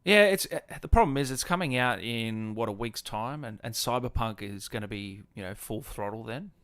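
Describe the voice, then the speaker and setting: A man's voice: medium pitch, lively intonation, normal speed, fairly bright tone, smooth, no echo. A man with an Australian accent chatting casually on a gaming livestream, informally addressing viewers like mates. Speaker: gaming streamer and host. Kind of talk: casual livestream chat, answering viewers' comments.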